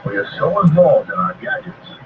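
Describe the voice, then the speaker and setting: A man's voice: fairly high pitch, very animated, moderate pace, far from the mic, phone-quality sound, a little hiss or hum. A man hosting a call-in radio talk show, speaking in an emphatic, exhorting, repetitive way, heard over a shortwave broadcast. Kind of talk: impassioned political talk radio monologue with call-in appeals.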